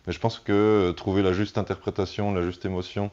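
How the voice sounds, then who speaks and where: A man with a very low-pitched voice talks as a musician in a relaxed interview. He feels his way through his explanations, pausing and restarting as he looks for the right word. The sound is somewhat dull and lo-fi. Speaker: band musician being interviewed.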